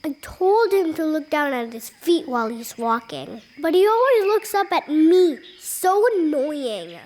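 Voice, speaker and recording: A performer playing an earnest, easily exasperated little child with a whiny, expressive delivery in an animated storytime. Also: high voice, a little slow. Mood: annoyed, puzzled.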